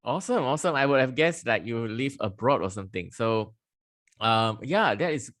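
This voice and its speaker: A man's voice: medium pitch, lively intonation, moderate pace, a bit bright, dry recording, studio quality. Chatty, upbeat male podcast host. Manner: chatty, enthusiastic, laughs often. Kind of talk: casual interview chat.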